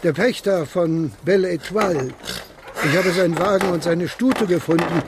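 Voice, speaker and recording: Courteous countryman, acted by a man, higher-pitched voice. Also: noisy background, lightly acted. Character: polite rural man reporting a stray horse and cart. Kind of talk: radio drama acting.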